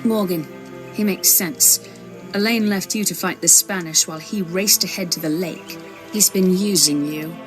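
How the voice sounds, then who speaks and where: An actress playing a brash, mocking pirate captain in a video game, with a sly, teasing manner. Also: female voice, fairly low pitch, moderate pace, close to an everyday voice. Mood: urgent. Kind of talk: video game cutscene acting. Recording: loud music behind. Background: music.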